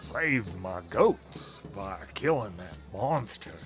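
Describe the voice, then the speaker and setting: A man voicing a drunk townsman with slurred, drawn-out speech in a fantasy audio story. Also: lowish voice, expressive, faint music behind. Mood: boozy.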